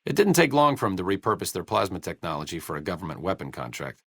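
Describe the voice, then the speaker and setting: A wry American doctor from a post-apocalyptic video game. His voice sits fairly low and swings expressively as he talks. He is dry, sardonic and articulate, an idealist with a sarcastic streak, recorded close and very dry. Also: very animated, quick pace, a bit bright, breathy edge, dry recording, exaggerated voice. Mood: dry, explanatory. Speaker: video game companion character. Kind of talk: video game voice acting, conversational dialogue.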